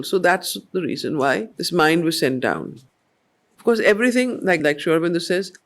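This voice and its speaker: A mature woman reads poetry and explains it to a study group in a deliberate, measured way. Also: fairly low pitch. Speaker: study circle facilitator, teacher. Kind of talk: slow poetry reading with explanatory teaching.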